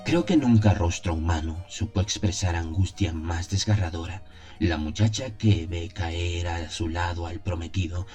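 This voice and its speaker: Male narrator for gothic audio fiction: very low, mellow voice, solemn theatrical delivery, music underneath. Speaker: narrator of an audio horror story. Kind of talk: dramatic scripted first-person narration of a gothic tale. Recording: music behind the voice.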